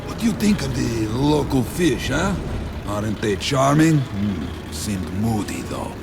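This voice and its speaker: A wry, world-weary fisherman from a video game. He drawls slowly in a raised, creaky voice and likes to tease. Clear background noise sits behind him. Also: medium pitch, very animated. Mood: wry, relieved. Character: cheerful joking Russian fisherman and raftsman, world-weary.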